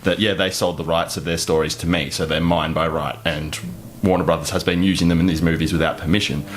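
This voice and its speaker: A man's voice: deep voice, fairly even intonation, quick pace, slight background noise. A man hosting a podcast, presenting news items in a casual, chatty way.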